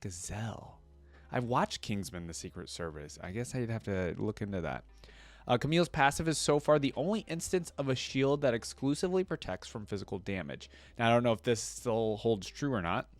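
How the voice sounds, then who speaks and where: A man with a medium-pitched voice gives informal reaction commentary in a dry-sounding space. He reads aloud, then drifts into offhand remarks, thinking out loud as he talks to his viewers. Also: expressive, a little fast, dry recording. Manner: informal, thinking aloud, often checking in with listeners. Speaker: video creator reacting to game character lore.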